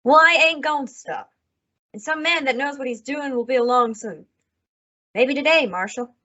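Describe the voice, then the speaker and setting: Woman playing a high-voiced hard frontier widow. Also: moderate pace, pushed and bright. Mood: bitter, defiant. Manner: curt, rustic, demanding. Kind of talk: old-time radio western acting. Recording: dull lo-fi radio drama recording.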